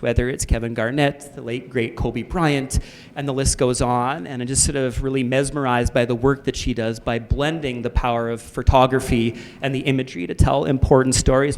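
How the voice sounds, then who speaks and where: Canadian man moderating a panel. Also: mid-range voice. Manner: conversational, many fillers and "sort of". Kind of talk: panel introduction at a festival.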